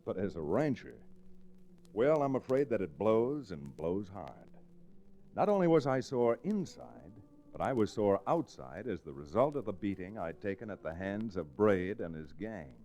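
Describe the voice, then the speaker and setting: Steady cowboy lawyer hero, lowish earnest voice, old radio show with some hiss. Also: male voice, normal speed, dull audio. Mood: grim storytelling. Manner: earnest, firm. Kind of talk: 1940s radio western acting and first-person narration. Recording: noisy old radio recording.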